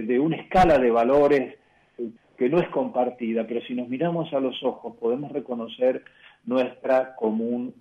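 A man with an Argentine accent, a bishop answering questions in a radio interview, measured and reflective, often pausing and restarting. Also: medium pitch, muffled recording. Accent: Argentine Spanish.

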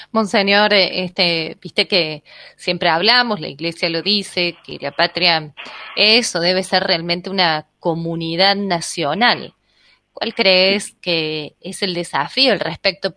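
A woman's voice: lowish voice, bright, forceful tone, dry recording, clean studio sound. A woman interviewing a guest on the radio, conversational and informal. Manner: conversational, with fillers.

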